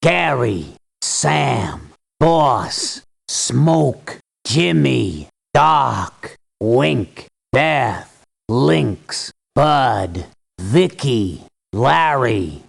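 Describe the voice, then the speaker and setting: An actor voicing a gruff, weary mercenary for hire in a video game, with terse, gravelly lines. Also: male voice, medium pitch, very animated, very slow, full character voice. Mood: flat, terse. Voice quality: gravelly, husky.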